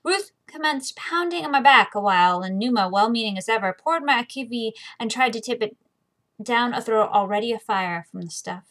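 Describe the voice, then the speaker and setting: A woman's voice: a little high, animated, brisk, fairly bright tone. An American woman with an informal, conversational way of talking, hosting a book reading video series. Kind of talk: casual chatty vlog, then reading a novel aloud.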